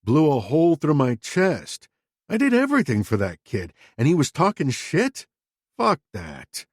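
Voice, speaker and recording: A man's voice: on the high side, huge pitch swings, unhurried, no echo, clean studio sound, heavily acted. A man voicing a crude, defensive middle-aged father who talks in a blunt, profane, self-justifying way. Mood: bitter, angry.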